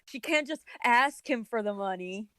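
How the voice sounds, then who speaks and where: A woman's voice: fairly high pitch, expressive, moderate pace, a bit bright, smooth, dry recording, studio quality. A woman co-hosting a casual discussion, talking in a sarcastic, critical way. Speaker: podcast co-host. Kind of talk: casual comic-book discussion.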